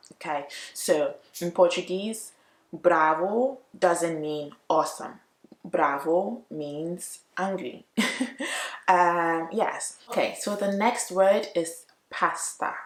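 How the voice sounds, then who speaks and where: A woman who speaks English natively, chatting casually to viewers as she explains language points, expressive and emphatic, repeating words for emphasis. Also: on the low side. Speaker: YouTube language vlogger. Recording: roomy, echoey room.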